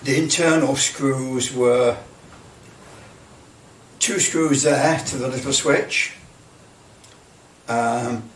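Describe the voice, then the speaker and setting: Guy showing his project, takes his time, thinks out loud, echoey room w bit of hiss. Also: medium pitch, very slow, pauses often. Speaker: presenter of a hobby project. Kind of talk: casual hands-on demonstration. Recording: roomy, distant mic, faint noise.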